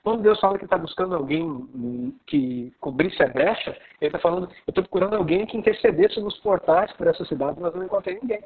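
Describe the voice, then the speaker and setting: A man from southern Brazil with a Gaúcho accent, talking keenly on a live video call. His voice sits high and sounds husky, and the line is thin and tinny like a phone. He teaches with rising excitement and stresses his points. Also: a little fast, few pauses. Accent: Gaúcho Brazilian Portuguese. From Rio Grande do Sul, Brazil. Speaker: pastor.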